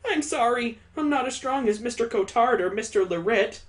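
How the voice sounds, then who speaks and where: An earnest visual novel girl, acted out by a game streamer, speaking in a raised, higher pitch. She is polite and apologetic, yet urgent. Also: lively intonation, moderate pace, close to an everyday voice.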